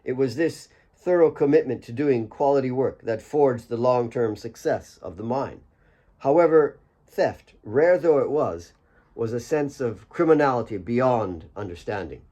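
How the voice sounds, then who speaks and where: A man's voice: medium pitch, expressive, measured pace, dark tone. A man reading his own fiction as an audiobook, in scripted storytelling narration.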